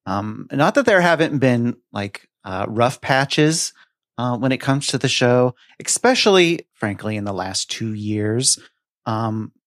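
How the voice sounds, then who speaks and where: A man with a medium-pitched voice in a dry, close recording. He speaks as a podcast co-host, thoughtful and hesitant, feeling his way through casual reflections in halting phrases. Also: dry recording.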